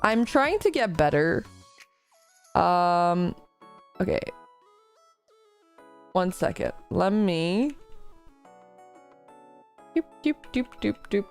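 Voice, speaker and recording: A woman's voice: fairly low pitch, sing-song, very slow, long pauses, clean tone, soft background music. A woman streaming live, chatting casually and reading out her chat, slightly congested from a recent illness and rambling in a self-deprecating way.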